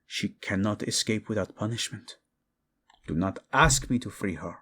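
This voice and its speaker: A man's voice: lowish voice, normal speed, pushed and bright, husky. A man playing a proud, vengeful young king, fiercely protective of his wife, in a dramatic romance audio roleplay. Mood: stern, resolute. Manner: brooding, commanding, tender in endearments.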